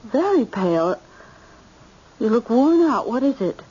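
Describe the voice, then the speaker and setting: An actress playing an anxious, fussing American mother in an old-time radio drama, halting and repeating herself. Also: a little high, big pitch swings, dark tone, breathy edge, highs cut off, exaggerated voice. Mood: concerned.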